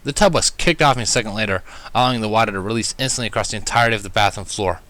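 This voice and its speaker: A man reading a story aloud as narrator, with expressive delivery. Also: fairly low pitch, rapid-fire. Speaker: fan fiction reader.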